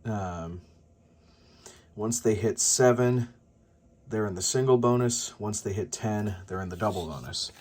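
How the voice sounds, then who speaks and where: Low-pitched man vlogging casually. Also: unhurried, pauses often, darkish tone. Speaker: vlogger and sports announcer. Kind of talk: casual vlog explanation.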